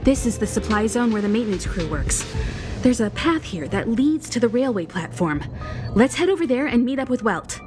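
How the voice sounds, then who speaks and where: High, expressive, poised woman adventurer voiced by an actress. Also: normal speed, lightly acted. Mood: calm, resolute. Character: poised, decisive adult female space traveler. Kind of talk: video game cutscene voice acting. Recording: loud music bed underneath.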